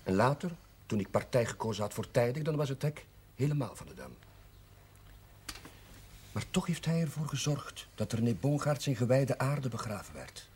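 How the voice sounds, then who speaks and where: An actor as a measured, persuasive village chaplain. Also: male voice, mid-range voice, sing-song, darkish tone, close to an everyday voice. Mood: solemn, pleading. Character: male village chaplain, kindly, persuasive, fond of food. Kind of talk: 1970s television drama acting. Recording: noisy 1970s television soundtrack.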